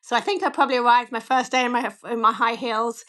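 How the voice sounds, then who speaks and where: A woman in her forties with a slightly croaky voice, answering interview questions candidly and with a self-deprecating touch, often saying "you know". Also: fairly high pitch, steady tone, quick pace, fairly bright tone. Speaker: bank chief executive, interview guest.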